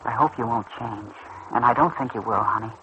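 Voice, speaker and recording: An actor playing an earnest, boyish teenage lad, tender and proud, in a mid-century radio soap style. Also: male voice, mid-range voice, fairly quick, pushed and bright, lightly acted. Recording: muffled, noisy old radio recording.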